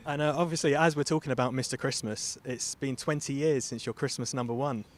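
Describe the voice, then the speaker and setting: A man's voice: medium pitch, steady tone, brisk, slight background noise. A man interviewing celebrities for radio in an upbeat, conversational style.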